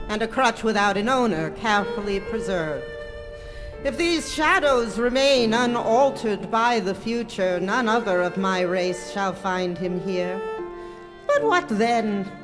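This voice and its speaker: An actor playing a stern, solemn ghostly spirit in a live radio drama, speaking gravely and deliberately with declamatory weight. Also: medium pitch, lively intonation, close to an everyday voice. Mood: solemn rebuke. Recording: long hall reverb, faint music behind.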